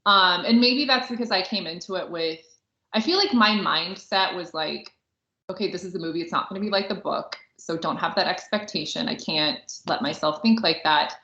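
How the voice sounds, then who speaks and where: Woman, casual podcast co-host, bright tone, informal chatty delivery, echoey and slightly dull recording. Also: fairly low pitch, normal speed. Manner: chatty, informal, heavy use of "like". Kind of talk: casual book and film discussion. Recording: roomy echo, slightly dull sound.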